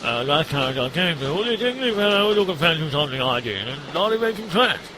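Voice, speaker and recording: A man's voice: fairly high pitch, very animated, a little fast, noisy background. A man voicing a bumbling, vain doctor sidekick in a comedic audio drama, flustered and pompous.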